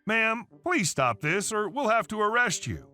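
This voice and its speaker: A man's voice: high voice, very animated, measured pace, bright, forceful tone, soft background music, voice pushed up, full character voice. A man reading a firm, patient police officer.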